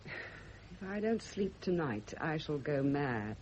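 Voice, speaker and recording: A woman's voice: low voice, sing-song, takes it slowly, a little mellow, full character voice. An actress playing an embittered, sleepless, guilt-ridden woman in a classic radio drama, speaking with tense emotional intensity. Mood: weary, desperate. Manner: intense, emotional, self-justifying. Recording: muffled, noisy old radio recording.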